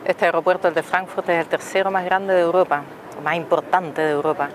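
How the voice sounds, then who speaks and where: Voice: mid-range voice, flat delivery, fairly quick, a little hiss or hum. A travel vlogger, casually explaining city landmarks outdoors and asking the viewers questions. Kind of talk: casual travel narration to camera. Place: outdoors on a city tower deck and streets.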